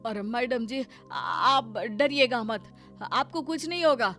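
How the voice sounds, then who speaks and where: A woman voicing a caring, brave village husband in an animated moral story, earnest and protective. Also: fairly high pitch, unhurried, faint music behind. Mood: reassuring.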